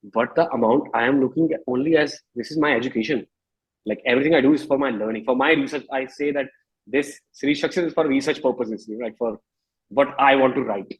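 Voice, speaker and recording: Husky Indian man talking casually. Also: medium pitch, fast, lo-fi sound. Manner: informal, reflective, peppered with "you know" and "like". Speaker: singer-songwriter being interviewed. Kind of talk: casual conversational interview.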